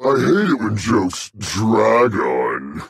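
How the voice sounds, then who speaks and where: Video game dragon brute: very raspy, gruff and boastful, pitch swinging wildly from growl to bellow. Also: male voice, very animated, takes it slowly, no echo, heavily acted. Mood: gruff grumbling. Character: brutish, hot-tempered male dragon warrior, gruff and boastful. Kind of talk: video game character barks.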